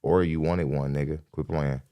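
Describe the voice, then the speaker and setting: A man's voice: rumbling low, steady tone, moderate pace, dark tone, clear, resonant tone, no echo. A man hosting a podcast, giving blunt, mocking live reaction commentary with laughs and repeated jabs. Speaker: podcast host reacting to a clip.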